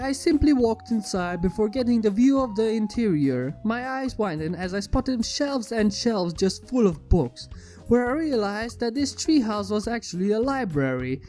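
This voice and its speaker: A man's voice: sits high, mellow. A man narrating a scripted first-person story in a wry, dramatic storytelling manner. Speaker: fan fiction narrator. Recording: background music under the voice. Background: music.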